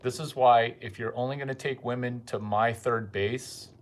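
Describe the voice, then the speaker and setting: A man's voice: medium pitch, steady tone, normal speed. A man giving a rambling, crude casual monologue full of profane asides.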